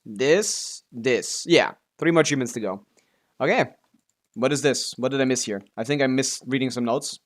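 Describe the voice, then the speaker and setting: A man streams a video game with casual running commentary. His voice sits at an ordinary middle pitch. He talks offhandedly, half to himself, and swears freely. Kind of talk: casual live gameplay commentary.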